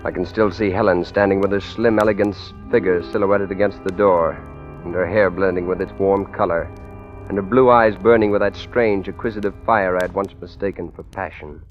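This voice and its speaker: An actor playing a brooding, henpecked husband with murder in mind in a 1940s radio suspense play, subdued and hesitant. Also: male voice, sits low, steady tone, a little fast, lightly acted. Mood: brooding, confessional. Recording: dull old radio recording, music underneath.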